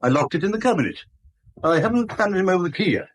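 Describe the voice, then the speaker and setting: An actor playing a fussy, irascible senior bank clerk in a 1970s radio drama, brusque and pedantic. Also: male voice, sits high, animated, fast. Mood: fussy, testy.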